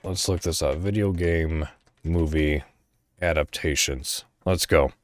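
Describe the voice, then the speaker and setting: A man hosting a podcast solo, chatting casually and thinking out loud in a rambling way. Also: very deep voice, slow. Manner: rambling, thinking aloud, mild swearing. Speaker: podcast host.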